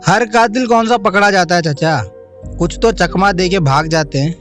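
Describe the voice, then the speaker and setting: A man playing an earnest, high-voiced young friend. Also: normal speed, a bit bright, faint music behind. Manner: earnest, respectful. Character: loyal young small-town friend. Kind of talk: audio drama acting.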